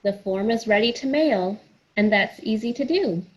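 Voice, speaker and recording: A woman's voice: mid-range voice, expressive, moderate pace, slightly rough, low-quality recording. A woman hosting a children's story time, reading aloud and counting along in an encouraging, sing-song way. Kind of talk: picture book read-aloud for children.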